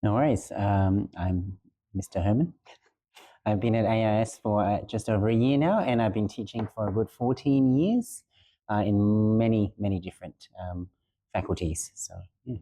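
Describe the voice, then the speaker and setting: A man, a teacher answering interview questions in a relaxed way with many ums. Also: on the low side, moderate pace. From Australia. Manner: relaxed, many ums and uhs, plain-spoken. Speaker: teacher and guest. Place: school room.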